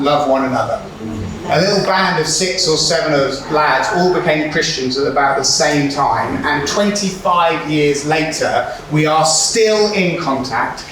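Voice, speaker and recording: Brief: forties male speaker, Hebrew-native, Israel-raised, storytelling sermon, slightly higher voice, booming hall, heard from off-mic. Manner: storytelling, warm humour, rhetorical questions. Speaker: conference speaker. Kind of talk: sermon-style talk. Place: large hall with audience. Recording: echoey hall, far from the mic.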